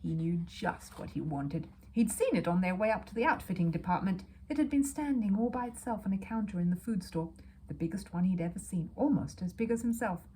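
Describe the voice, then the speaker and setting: Woman narrator, children's audiobook, animated intonation, medium pitch, faint background noise. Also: brisk, poor audio. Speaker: storyteller.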